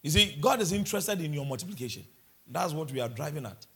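A man's voice: fairly high pitch, expressive, quick pace, sharp tone, breathy edge. A man from Ghana with a Ghanaian accent, preaching a sermon and mixing in humorous asides. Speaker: pastor.

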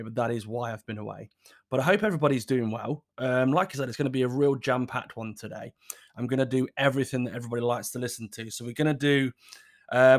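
A man's voice: medium pitch, a bit bright. Man with a scratchy sore throat. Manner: rambling and apologetic, with restarts. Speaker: podcast host. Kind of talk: casual solo podcast chat.

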